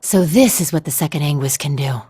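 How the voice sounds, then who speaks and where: Game role: mysterious adult sorceress, lowish voice, poised, coy. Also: female voice, fairly quick, mellow, breathy edge. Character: composed, sly, mysterious elder-sister enchantress. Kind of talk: video game cutscene acting.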